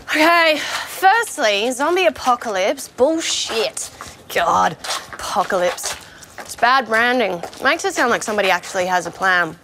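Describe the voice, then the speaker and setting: A woman's voice: fairly high pitch, animated, a little slow, background noise. A woman playing a jaded, opinionated vegetarian zombie in a comedy film, talking in a sardonic, sweary, conversational rant. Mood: scornful, exasperated. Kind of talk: naturalistic short-film comedy acting, mock interview.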